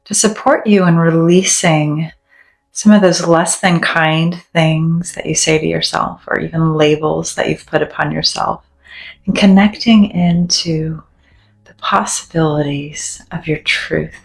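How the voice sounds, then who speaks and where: A woman leading a guided meditation in a gentle, soothing, deliberate way, leaving long pauses between phrases. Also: sits low, steady tone, creaky, poor audio. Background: soft background music.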